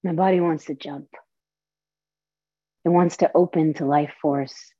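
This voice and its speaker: A young woman from Saudi Arabia and Palestine speaks with slow, deliberate earnestness, her voice sitting a little low. The audio is dull and lo-fi, with a close, dry sound and no room around it. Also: steady tone, warm tone, slightly rough, dry recording. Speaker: storyteller and performer. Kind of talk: theatrical monologue and personal talk.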